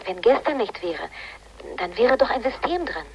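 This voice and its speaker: A woman's voice: on the high side, sing-song, normal speed, slight background noise, rough recording. An actress playing an earnest, diligent female police colleague in a German radio play, matter-of-fact and eager to explain. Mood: earnest, apologetic.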